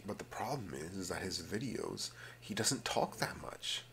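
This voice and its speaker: A man hosting a casual, rambling ASMR video, slipping between vocal fry and whispering and often repeating his phrases. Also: deep voice, very animated, normal speed, a little hiss or hum. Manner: alternates hushed fry and whispering.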